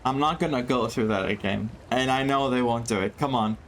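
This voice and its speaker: A man's voice: medium pitch, a little fast, a little hiss or hum. A man streaming a story game playthrough, reacting emotionally as he plays. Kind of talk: casual playthrough commentary.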